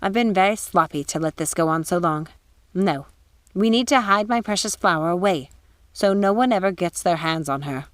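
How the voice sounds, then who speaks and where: A woman's voice: lowish voice, moderate pace, no room sound, lightly acted. A woman voicing a cunning witch who fakes sweetness and concern. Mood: scheming.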